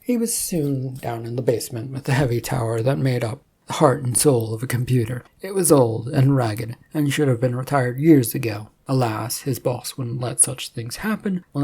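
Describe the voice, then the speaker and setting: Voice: medium pitch, a little mellow, husky. A narrator reading a scripted story aloud, with slight stumbles now and then.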